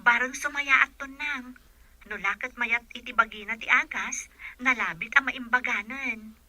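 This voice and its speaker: A woman's voice: a little high, normal speed, bright, forceful tone, dry recording, low-quality recording. A woman acting a caring, devoted young caregiver in a Filipino radio drama, with an attentive manner. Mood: earnest.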